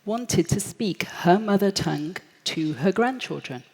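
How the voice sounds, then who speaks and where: A British woman in her thirties giving a lively, story-driven talk at a forum, acting out the voices of people she quotes. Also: medium pitch, unhurried, slightly rough. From Britain. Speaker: speaker at a forum. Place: large hall. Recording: echoey hall, long reverb.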